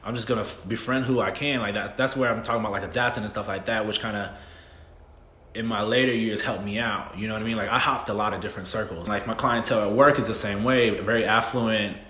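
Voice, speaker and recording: Candid low-voiced man in his thirties. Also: quick pace. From Southern United States. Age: 30s. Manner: relaxed and candid, frequent "um" and "you know what I mean". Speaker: podcast guest. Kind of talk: casual interview chat. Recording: echoey room with faint noise.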